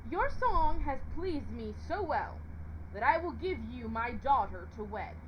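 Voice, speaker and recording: Stern old storybook king, read by a high-voiced woman: commanding, firm, lots of emphasis. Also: sing-song, a little slow, a bit bright, slight background noise, full character voice, low-quality recording. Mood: stern, decisive.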